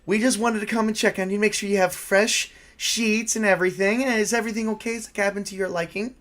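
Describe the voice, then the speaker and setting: A perky woman camp counselor from a tabletop horror game, acted out by a man. The voice is pitched high, chirpy and over-polite. She sounds hospitable and keen to please. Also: steady tone, fast, fairly bright tone. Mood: eager, helpful.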